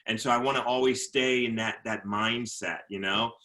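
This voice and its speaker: An earnest man speaking as a motivational speaker, his voice bright and forceful at an average pitch. He often repeats words while he finds his thoughts. On points of faith he slows down and drops lower. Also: steady tone. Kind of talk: casual interview talk.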